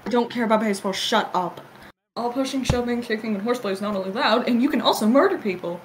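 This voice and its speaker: A woman's voice: a little high, fast talker. A woman ad-libbing a joking cartoon fandub in a casual, irreverent way. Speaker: amateur fandub voice performer. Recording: noisy amateur home recording.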